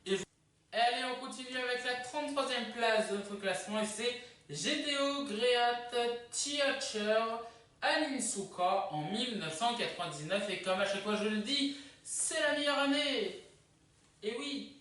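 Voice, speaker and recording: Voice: medium pitch, unhurried, fairly bright tone. Echoey, distant-sounding YouTube countdown host. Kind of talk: countdown video presentation, casual. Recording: echoey room, distant microphone.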